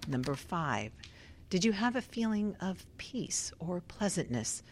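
An actress plays a composed woman doctor running a study in a radio drama. Her voice is low for a woman, and her manner is formal, polite and methodical, as if working through procedure. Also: very animated, unhurried. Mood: procedural.

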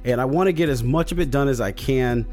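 A man with a slightly hoarse voice casually recapping his tabletop campaign as a solo podcast host, rambling with many fillers and restarts. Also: mid-range voice, fast, darkish tone, faint music behind.

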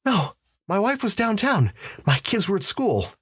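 A man's voice: high-pitched, sing-song, unhurried, breathy edge, no echo, clean studio sound, voice pushed up, full character voice. A man voicing a panicked, bewildered middle-aged shopkeeper in an audiobook, pleading with breathless urgency. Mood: shaken, pleading. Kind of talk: dramatised audiobook reading.

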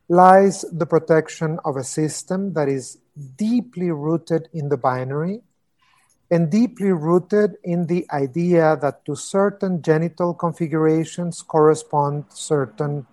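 A man from Costa Rica explains his views in a remote interview, heard through a video call connection. He speaks thoughtfully but haltingly, filling pauses with ums and repeating words as he works toward each point. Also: a little high, a little mellow. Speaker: interviewed human rights expert.

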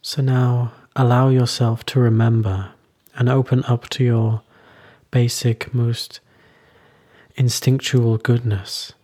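A man leading a guided meditation as a teacher, soothing and unhurried, with long pauses between short phrases. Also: medium pitch, level tone, dark tone.

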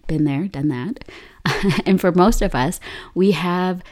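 A young woman hosting a solo podcast, her voice hoarse from illness, upbeat and chatty with frequent laughs. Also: lowish voice, slow, darkish tone. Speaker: podcast host.

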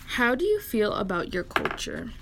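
A woman from Connecticut hosting a casual group podcast, talking in a chatty way full of "like" and animated little stories. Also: on the high side, moderate pace, noisy background. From Connecticut, USA. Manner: chatty, lots of "like", animated storytelling. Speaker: podcast host.

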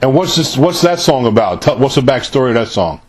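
A man's voice: medium pitch, sing-song, brisk, a crowd in the background. A man hosting a casual interview show, asking questions in an informal, chummy way with plenty of "man.". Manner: informal, often says "man".

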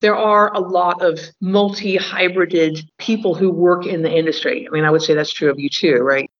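A woman's voice: on the low side, fairly even intonation, moderate pace. American woman in her fifties, lo-fi. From United States. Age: 50s. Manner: chatty, thinks aloud with fillers. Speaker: guest, cannabis journalist. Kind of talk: casual interview talk.

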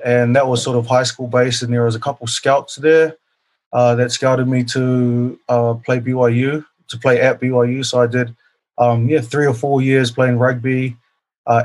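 A man in his thirties from New Zealand, a guest on an interview, answering casually and humbly with many pauses and fillers. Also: medium pitch. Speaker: guest, professional rugby player.

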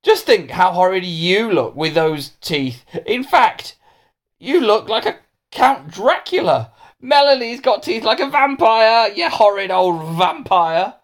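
A storyteller voicing a bratty, sneering schoolboy bully in a children's storybook, with taunting, shouted delivery. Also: medium pitch, wildly swooping pitch, normal speed, hardly any pauses, voice pushed up.

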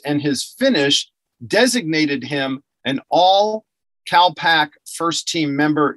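A fairly high-voiced man hosting a casual interview. He talks in an eager, chatty way, piling up fillers and restarts, and the recording is dry and close. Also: fairly bright tone, no room sound, rough recording. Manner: chatty, enthusiastic, many fillers. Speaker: podcast host interviewing athlete and coach.

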